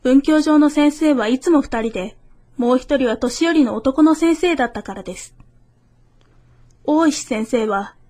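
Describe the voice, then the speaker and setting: A woman's voice: high voice, mellow. A woman reader giving a scripted reading of literary prose.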